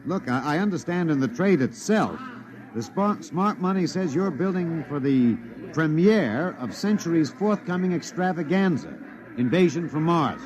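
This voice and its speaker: A man's voice: a little high, lively intonation, moderate pace, a crowd in the background, natural voice. An American actor playing an earnest male radio reporter giving live street commentary in a 1950s radio drama, addressing listeners formally. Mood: genial, probing. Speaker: announcer. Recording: noisy old 1950s radio recording.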